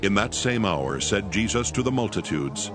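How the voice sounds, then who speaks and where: Low-pitched male scripture narrator. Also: animated, moderate pace. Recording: background music under the voice.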